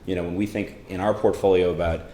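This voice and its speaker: A male host with a low voice introduces his guest and asks questions, with lots of fillers. He sounds friendly and easygoing. The recording has a roomy echo and faint ambient noise. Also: fairly even intonation, fast talker, mellow. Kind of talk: conversational interview.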